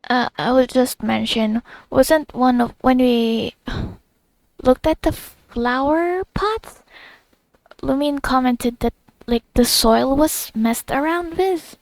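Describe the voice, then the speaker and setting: A woman's voice: high-pitched, slow, dry recording, rough recording. A woman streamer giving casual, reactive gameplay commentary, musing aloud, trailing off and sometimes reading game text. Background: game music.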